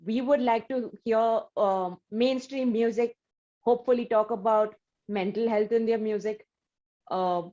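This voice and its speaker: A woman hosts an interview at a middle pitch. Her questions run long and wander, with "uh" and "you know" throughout. The sound is slightly dull. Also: darkish tone. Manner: rambling, searching questions full of "uh" and "you know". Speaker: interview host. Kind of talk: casual interview talk.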